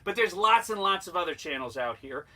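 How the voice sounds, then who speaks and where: A man's voice: high-pitched, expressive, fairly quick, sharp tone. An American man giving an informal, plain-spoken instructional demonstration as a sailing instructor, emphatic and repeating his points.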